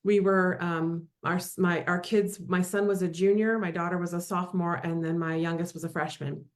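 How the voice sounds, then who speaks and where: A woman's voice: on the low side, level tone, moderate pace. A woman in her fifties, a guest in a casual interview, friendly and laughing often, with frequent ums and restarts. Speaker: guest, realtor.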